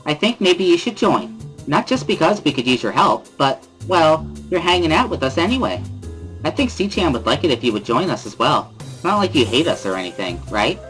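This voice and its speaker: Visual novel role: loud, bubbly high school girl played by a man, high-pitched, very animated, music underneath. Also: moderate pace, rough recording. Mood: earnest, softer. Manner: exuberant, talkative, boisterous laughing. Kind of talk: amateur dramatic reading of a visual novel.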